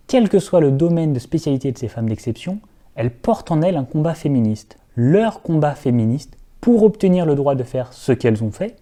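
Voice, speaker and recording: A young man narrates a video essay in a carefully scripted, earnest delivery. His voice sits a little high and sounds very dark and mellow in tone. He talks straight to his viewers and now and then slips in a dry, ironic aside.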